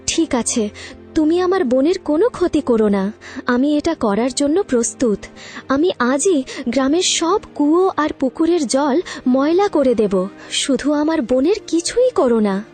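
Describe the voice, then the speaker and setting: A woman's voice: high-pitched, expressive, a little slow, darkish tone, soft background music. A woman voicing a good-hearted young woman in a cartoon fairy tale, earnest and emotional. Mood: pleading, anguished.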